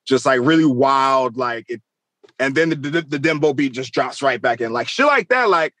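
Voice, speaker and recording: A man's voice: medium pitch, lively intonation, normal speed, fairly bright tone, dry recording, studio quality. A man chatting casually as an interview guest, loose, slangy and freely swearing. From Queens, New York, United States. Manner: slangy, profane, loose and conversational. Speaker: interview guest, rap musician.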